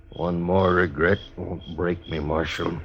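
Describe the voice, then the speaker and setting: An actor playing a gruff, cynical American frontier man in a radio western, with a blunt, folksy way of talking. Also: low-pitched, heavily acted. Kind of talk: 1950s western radio drama acting. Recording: dull old radio recording.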